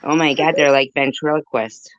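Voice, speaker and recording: Low-voiced woman, reactive and exclamatory. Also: steady tone, normal speed, highs cut off, noisy background. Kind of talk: casual chat.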